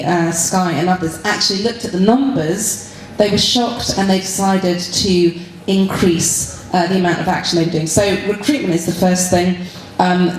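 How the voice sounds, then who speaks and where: A woman's voice: mid-range voice, darkish tone, slight background noise. A woman giving an informal, joking after-dinner speech at a conference, chuckling between her points. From Britain. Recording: very echoey hall.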